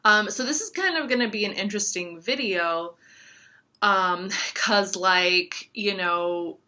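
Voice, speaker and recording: A woman's voice: mid-range voice, measured pace, sharp tone. A woman in her thirties, a Serbian-speaking astrologer and tarot reader, chatting casually to camera in a rambling way with lots of fillers. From United States. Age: 30s. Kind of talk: casual talking-to-camera chat.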